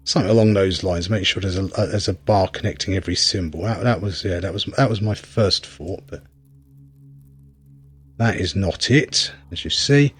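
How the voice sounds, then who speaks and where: A man's voice: low-pitched, moderate pace, slightly rough. A man giving casual gameplay commentary, rambling wryly as he thinks aloud while playing. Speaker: game reviewer and player.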